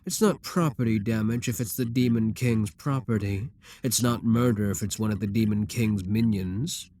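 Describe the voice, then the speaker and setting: A man's voice: fairly low pitch, moderate pace, mellow, natural voice. A man voicing a possessive, scheming male demon king in an audio roleplay, teasing and condescending. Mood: coaxing.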